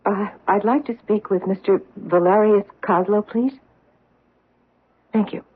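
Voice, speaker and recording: An actress playing a wary middle-aged wife in a radio drama, hesitant yet insistent. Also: female voice, mid-range voice, fairly even intonation, unhurried, slightly rough. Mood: anxious. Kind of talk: 1970s radio drama acting. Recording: tinny old radio broadcast.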